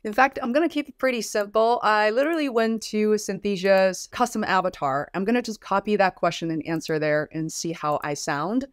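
A woman's voice: mid-range voice, a little fast, hardly any pauses, no room sound. Upbeat woman, dry close sound. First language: Mandarin Chinese. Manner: upbeat, chatty walkthrough. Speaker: host. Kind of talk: software tutorial. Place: home studio room.

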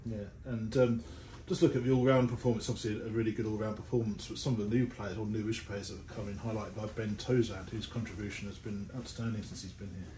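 Fast-talking male sports interviewer, echoey room. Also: fairly low pitch, level tone, mellow. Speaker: club interviewer. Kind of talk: informal post-match interview questions. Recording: echoey, noisy room recording.

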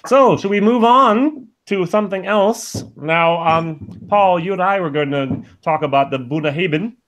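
A man's voice: sits high, unhurried, fairly bright tone. A man in his forties, born in the United States, hosting a casual video-call chat from home. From born in the United States, living in Germany. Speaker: host of a whisky review channel. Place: small room at home lined with bottle shelves.

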